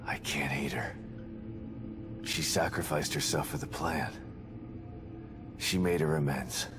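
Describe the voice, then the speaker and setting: An actor playing a grim, determined middle-aged detective in a video game, terse and hard-boiled, haunted by loss. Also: male voice, rumbling low, takes it slowly. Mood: somber. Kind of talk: dramatic video game acting. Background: music.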